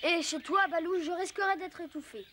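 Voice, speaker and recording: Woman voicing a high-pitched earnest little boy. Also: a little fast, pushed and bright, a little hiss or hum. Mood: sincere. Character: polite, earnest, independent little jungle boy. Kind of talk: animated cartoon dubbing. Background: faint music.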